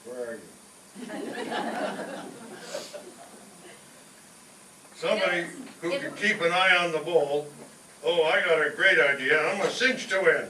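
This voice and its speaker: An actor playing a blundering, excitable, scheming working-class family man in a 1940s-style radio sitcom. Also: fairly high pitch, normal speed. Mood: cocky. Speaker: amateur performer in a staged radio show. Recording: echoey room, distant mic, faint noise.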